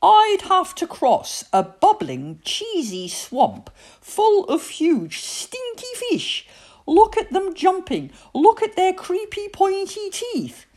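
A woman's voice: extremely high pitch, level tone, moderate pace, few pauses, a little mellow, pitched above the performer's own voice. A woman voicing an imaginative, easily frightened little boy playing knight in a storybook, with exclamatory, dramatic delivery. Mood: dramatic, wary. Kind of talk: storybook character voice.

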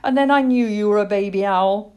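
A woman's voice: medium pitch, a little fast, a little mellow, smooth, muffled recording, pitched above the performer's own voice, lightly acted. A woman voicing a laid-back, modest, kindly male cat with a polite drawl for a storybook. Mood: mild, polite.

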